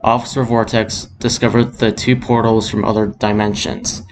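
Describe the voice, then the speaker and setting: A man's voice: fairly low pitch, flat delivery, normal speed, noisy background, natural voice. A man playing a news anchor, reading a scripted amateur disaster bulletin in a formal way. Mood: serious. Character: male breaking news anchor reporting a disaster. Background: music.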